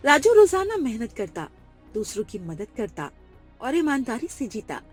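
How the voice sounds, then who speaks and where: A woman reads a scripted children's story as narrator. Her voice is slightly high and husky, with very lively intonation. Music plays faintly behind her. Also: normal speed.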